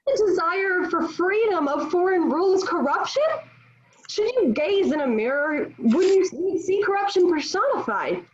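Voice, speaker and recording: Woman playing proud sharp-tongued young heroine, high-pitched, declamatory, defiant, dramatic table read, muffled recording. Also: lively intonation, moderate pace. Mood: scornful, fiery. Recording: dull, lo-fi video call audio.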